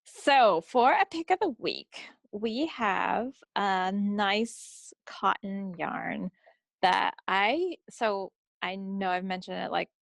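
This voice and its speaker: A middle-aged woman hosting a podcast, talking casually about products in an informal, halting way and often circling back to rephrase. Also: medium pitch, creaky, no echo. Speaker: podcast host presenting yarn picks.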